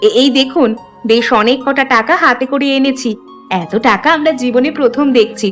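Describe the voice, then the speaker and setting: A woman's voice: high voice, moderate pace, soft background music, lightly acted. A woman voicing a trusting, kindly and polite young village girl in a cartoon story. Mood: polite, excited.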